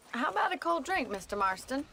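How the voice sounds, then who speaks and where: An actress playing a sardonic young ranch woman with an American accent in a western game, brisk and teasing. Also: high voice, gravelly, sharp tone, a little hiss or hum, heavily acted. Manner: brisk, teasing, dryly sarcastic. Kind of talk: video game cutscene acting.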